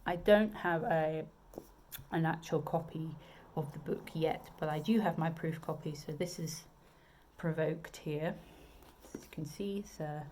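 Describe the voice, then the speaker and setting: A woman's voice: sits low, a little slow, darkish tone, a little hiss or hum. A woman with a southern English accent, a romance author chatting casually to camera about her books, with many ums and restarts. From England.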